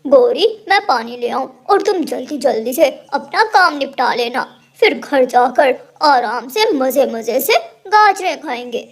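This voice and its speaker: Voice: high-pitched, lively intonation, unhurried, hardly any pauses, full character voice. An actor voicing a lazy, grumbling male crow husband in a cartoon. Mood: complaining.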